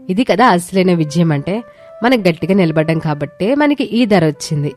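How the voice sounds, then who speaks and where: A woman's voice: mid-range voice, darkish tone, close to an everyday voice. A woman voicing a spirited young village daughter-in-law in a moral story, earnest and emphatic. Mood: proud, happy. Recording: music behind the voice.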